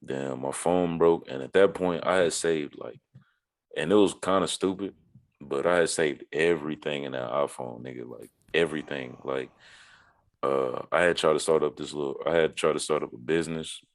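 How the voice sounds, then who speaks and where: A man's voice: very deep voice, normal speed. A man chatting casually on a podcast in a rambling, slangy, profane way. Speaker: podcast co-host or guest.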